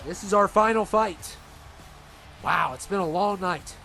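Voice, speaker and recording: A man with a stuffed-up nose doing rambling, excitable play-by-play for a video game wrestling show. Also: sits high, measured pace, creaky, soft background music. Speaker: commentator.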